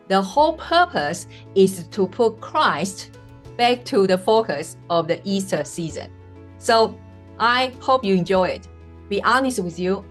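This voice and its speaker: A woman hosting her own podcast speaks in a Taiwanese-accented English, her first language being Mandarin. She tells her story at an unhurried pace, earnest and enthusiastic, pausing on small "uh"s. Faint music plays softly beneath her voice. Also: mid-range voice. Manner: earnest, enthusiastic, with frequent "uh" pauses. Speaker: podcast host. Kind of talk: personal storytelling monologue.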